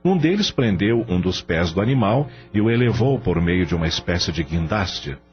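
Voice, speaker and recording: A man's voice: low voice, big pitch swings, moderate pace, lo-fi sound. A Brazilian man narrating a radio novel in a dramatic scripted style. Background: music.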